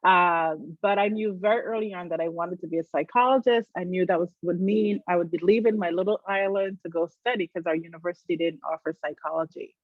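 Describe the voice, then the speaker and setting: A woman's voice: on the low side, fairly even intonation, fairly quick, hardly any pauses, no room sound. A middle-aged woman from Barbados, a psychologist, reflecting thoughtfully as a guest in an interview. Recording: dry tinny phone-like line.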